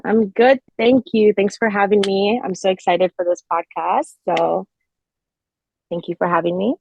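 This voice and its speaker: A woman's voice: mid-range voice, fairly even intonation, moderate pace, vocal fry, darkish tone, dull audio, a little hiss or hum. A woman in her twenties from Nigeria who grew up mostly in Canada, chatting casually as a guest in a podcast interview. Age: 20s. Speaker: podcast guest, fashion designer.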